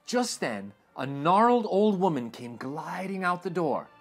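A young man reading a storybook aloud to children in an animated, expressive way, recorded in a living room. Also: high voice, a little slow, faint music behind. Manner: animated and expressive, dramatic swings in tone. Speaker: read-aloud host. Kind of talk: storybook read-aloud narration. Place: indoor living room with sofa.

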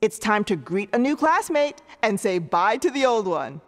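A woman voicing a cheerful animated quiz show host, presenting in an encouraging, emphatic scripted style. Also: medium pitch, normal speed, close to an everyday voice. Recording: reverberant hall with clear crowd noise.

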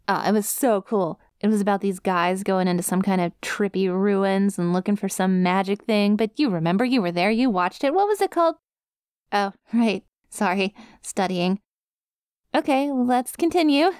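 A woman's voice: mid-range voice, normal speed, darkish tone, clear, resonant tone, no echo, studio quality, natural voice. A woman voicing a friendly, scatterbrained dog-girl student in an ASMR roleplay, with a casual, rambling, easily sidetracked manner. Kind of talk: ASMR roleplay, one-sided conversation with listener.

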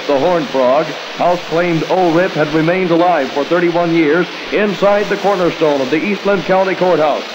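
An American man reads radio news and weather in a formal announcer's delivery. His voice sits fairly high for a man, and the old AM broadcast recording carries clear noise throughout. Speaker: radio newscaster. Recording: noisy 1960s AM radio aircheck.

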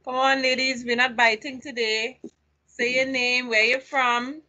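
Woman running a group chat, bright high-ish voice, coaxing people along. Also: level tone, unhurried, rough recording. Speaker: group facilitator.

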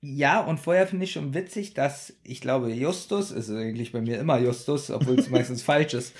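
A man's voice: medium pitch, normal speed, darkish tone. A man co-hosting a podcast in casual, bantering chat. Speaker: podcast co-host.

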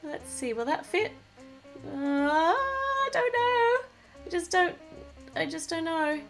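A woman's voice: very high-pitched, sing-song, slow pace, a bit bright, soft background music. A woman casually narrating a toy build at a table, thinking aloud and gushing over the cute little details.

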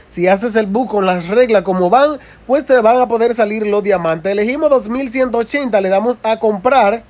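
A man narrating a casual mobile game tutorial, explaining step by step with frequent repetition and informal asides to viewers. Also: high-pitched. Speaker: gaming video creator.

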